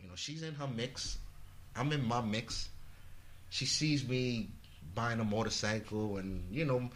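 A man from the Bronx telling a story as a podcast guest, animated, swearing freely and acting out what people said. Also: mid-range voice, a little slow, a little hiss or hum. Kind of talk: casual storytelling.

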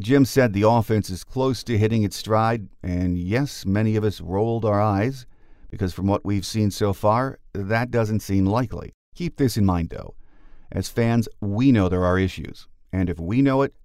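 A man hosting a sports podcast, giving measured scripted commentary that speaks directly to fans. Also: fairly low pitch, darkish tone, no room sound, clean studio sound. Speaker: podcast host.

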